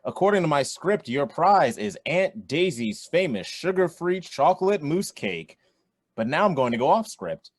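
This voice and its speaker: An actor playing a jovial quiz show host in a radio play, with theatrical announcer delivery. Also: male voice, on the high side, very animated, normal speed, no room sound, studio quality. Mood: congratulatory. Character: young man hosting a quiz show, jovial showman. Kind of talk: radio play acting, game show emcee patter.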